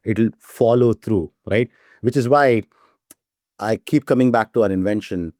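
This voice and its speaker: Man, lowish mellow voice, dry sound, keen startup guest who keeps checking in with "right?". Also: measured pace, dry recording. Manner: enthusiastic, repeats "right?" as a tag. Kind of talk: casual interview talk.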